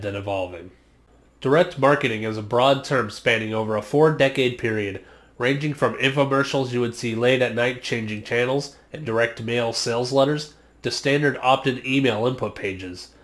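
A man in his thirties, a marketing vlogger, delivering a scripted explainer in a measured, earnest way from a small home studio on a studio microphone. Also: medium pitch. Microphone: studio condenser mic on stand, just off frame.

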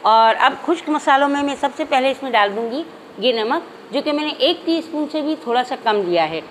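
A woman hosting a cooking channel, calmly walking viewers through a recipe step by step in a home kitchen. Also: high-pitched, a little fast, slight background noise, low-quality recording. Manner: calm, methodical, addresses viewers directly. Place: home kitchen, hands only on camera.

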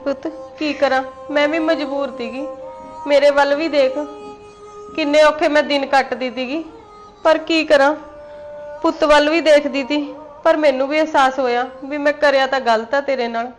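A woman's voice: sits high, fairly even intonation, normal speed, lightly acted. A woman playing a remorseful mother-in-law who pleads with her son's wife and tries to soothe her. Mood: pleading. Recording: faint music behind.

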